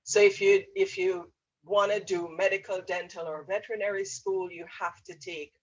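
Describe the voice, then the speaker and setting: This is a woman with a Jamaican accent and a husky edge to her voice. She speaks as a university professor explaining options to students on an online panel. Her phrasing comes in hesitant pieces, and the audio is somewhat dull and muffled. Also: medium pitch, steady tone, normal speed. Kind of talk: explanatory advice to students in a webinar.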